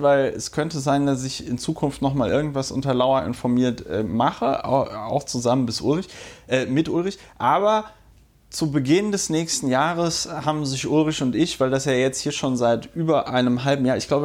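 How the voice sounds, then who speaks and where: A man hosting a podcast who has a cold, talking casually with many fillers and pauses, laughing easily. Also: fairly high pitch, darkish tone. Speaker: podcast host. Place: indoor room with a guest.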